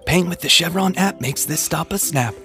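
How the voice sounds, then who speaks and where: A man's voice: on the high side, moderate pace, vocal fry, over loud music. A man delivering a scripted commercial as the advertisement's voice.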